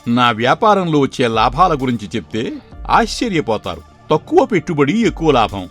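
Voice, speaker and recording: A man voicing a sly, persuasive swindling gold merchant in a moral story, coaxing and smooth-talking. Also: mid-range voice, very animated, fairly quick, a bit bright, faint music behind. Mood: boastful, coaxing.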